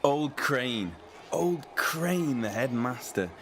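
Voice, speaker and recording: A man's voice: medium pitch, animated, slow, noisy background. A man acting an eager, wordy character who reminisces theatrically about boarding school in a literary drama. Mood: nostalgic, animated.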